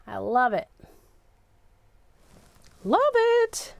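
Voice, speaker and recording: High-voiced woman chatting through a craft livestream. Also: big pitch swings, extremely slow, creaky, highs cut off. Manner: chatty, self-narrating, repeats words. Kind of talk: casual live craft chat, thinking aloud.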